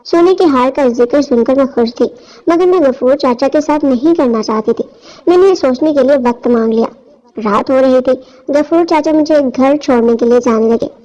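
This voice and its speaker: A woman's voice: high-pitched, moderate pace, warm tone. A woman reading a scripted first-person story as its narrator. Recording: dull, lo-fi audio.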